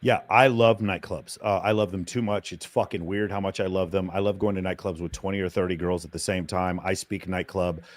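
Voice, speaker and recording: A man with a low voice speaks with boastful, profane energy. The recording is dry and close, with no room echo. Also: steady tone, a little fast, hardly any pauses. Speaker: dating coach on a panel. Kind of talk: casual panel chat.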